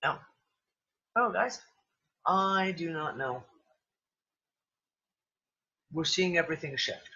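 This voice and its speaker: A man's voice: on the high side, animated, measured pace, gravelly, pushed and bright, dull audio. Man with a failing, sniffly voice. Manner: exclamatory, profane, rambling. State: losing voice, sniffly. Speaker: livestream discussion host. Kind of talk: casual livestream group chat. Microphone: computer mic on a video call.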